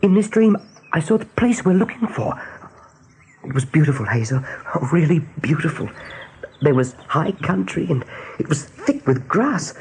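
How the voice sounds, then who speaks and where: A young rabbit seer in a radio play. His voice sits on the high side and rises and falls a lot. He is gentle, anxious and full of earnest wonder, with faint noise behind him. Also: very animated, normal speed, poor audio. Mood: dreamy wonder.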